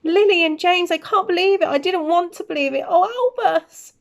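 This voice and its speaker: Woman reading as stern older lady professor from a storybook, really high, emotional, all worked up. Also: normal speed. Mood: tearful shock. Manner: exclaiming, faltering, emotional delivery.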